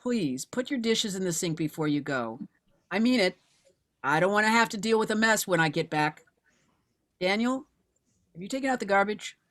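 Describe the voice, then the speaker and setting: A woman's voice: mid-range voice, lively intonation, normal speed, long pauses, lightly acted. A woman playing a weary but resolute widowed mother turned investigator in a screenplay table read. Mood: weary, brisk.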